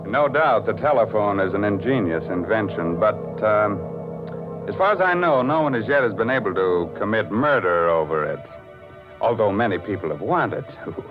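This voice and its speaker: A man's voice: on the low side, expressive, moderate pace, music in the background. A man announcing and narrating in scripted 1940s radio style, theatrical and polished. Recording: muffled, narrow-band 1940s radio recording.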